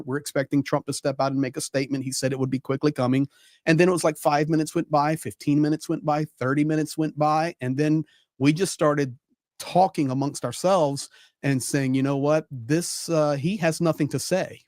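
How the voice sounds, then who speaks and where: A man's voice: mid-range voice, darkish tone, no room sound, clean studio sound. A man giving conversational live political commentary as a panel guest, repeating phrases for emphasis.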